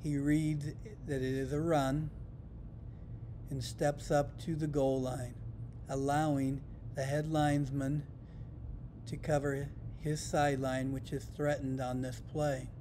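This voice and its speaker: A man's voice: flat delivery, slow pace, warm tone. An American man reading scripted instructional narration for a training video in a matter-of-fact way. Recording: rumbling background noise.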